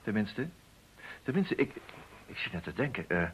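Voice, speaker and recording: An actor playing a dry, probing detective in a radio play, musing aloud and asking pointed questions. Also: male voice, fairly low pitch, sing-song, moderate pace, fairly bright tone, slight background noise, rough recording. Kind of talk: Dutch radio play acting.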